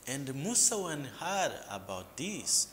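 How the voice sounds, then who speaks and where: A man from Mozambique, explaining local history as an interview guest in a measured, lecturing way, with hesitant fillers and restarts. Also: on the high side, very animated, a bit bright. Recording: reverberant room with faint background noise.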